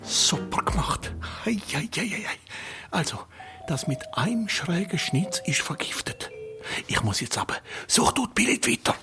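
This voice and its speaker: A man's voice: high voice, very animated, moderate pace, over music. An actor, a man, playing a nervous, conspiring family man in a children's radio play. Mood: pleased, hurried.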